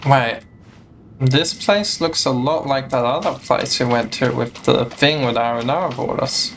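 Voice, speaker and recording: A man's voice: medium pitch, a little slow, fairly bright tone, slight background noise. A man streaming a game, giving casual reactions and muttered, joking asides as he plays. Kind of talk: casual reaction commentary over gameplay. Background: game music.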